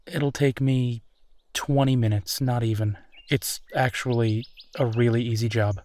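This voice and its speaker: A man's voice: medium pitch, fairly even intonation, unhurried, darkish tone, lightly acted. A man playing a friendly, easygoing werewolf in a roleplay audio drama, talking casually and reassuringly with small hesitations. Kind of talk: roleplay audio drama, natural one-sided conversation.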